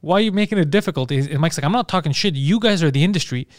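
A man from Montreal telling a story in a casual podcast chat, animated, swearing freely and laughing often. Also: fairly high pitch, rapid-fire, no echo, clean studio sound. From Montreal, Canada. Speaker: comedian guest.

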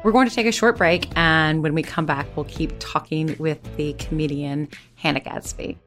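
A woman with a fairly low voice hosts an interview. She affirms her guest and asks layered, probing questions. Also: steady tone, normal speed, a bit bright, faint music behind. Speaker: radio interview host. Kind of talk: interview hosting.

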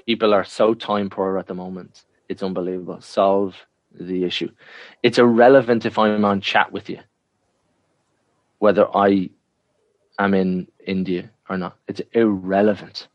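A frank Irish man talks in a lowish register, leaning hard on his points and often saying them twice. His voice is dry and close, with no room sound. He sounds like he is on a video call. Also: steady tone, moderate pace, no room sound, dull audio. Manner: frank, emphatic, repeats himself when making a point. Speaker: interview guest. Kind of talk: conversational interview answers.

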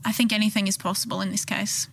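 Female podcast reporter, medium pitch, explanatory scripted read, very little room sound. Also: flat delivery, fast, fairly bright tone, no echo.

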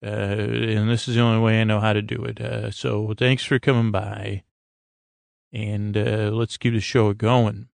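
A man hosting a sleep podcast, rambling gently and hesitantly through silly, goofy asides in a gravelly, creaky voice. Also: lowish voice, flat delivery, no room sound. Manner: meandering, hesitant, halting asides. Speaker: podcast host. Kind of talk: rambling bedtime monologue to help listeners sleep.

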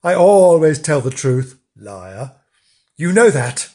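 A woman's voice: sits low, big pitch swings, slow, mellow, heavily acted. A woman voicing a sly male animal trickster in a storybook, mock-solemn and emphatic in giving warnings. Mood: insistent.

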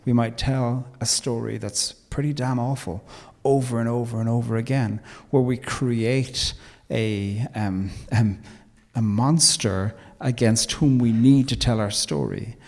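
Irish man, interview guest, measured and reflective with emphatic moments; mid pitch, long reverb. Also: steady tone, a little mellow. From Ireland. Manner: measured and thoughtful, with emphatic turns. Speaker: guest, theologian and poet.

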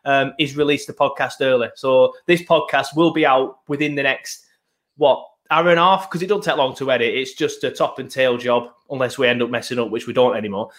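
A man's voice: mid-range voice, a bit bright. A man hosting a casual football podcast, chatty and rambling with lots of ums, recorded at his laptop. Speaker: podcast host. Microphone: laptop setup, video podcast.

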